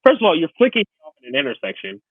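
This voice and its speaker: A man's voice: a little high, huge pitch swings, very fast, breathy edge, dry recording. Man, tinny phone-like audio. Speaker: podcast co-host. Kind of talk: casual conversational podcast chat. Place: remote, away from studio.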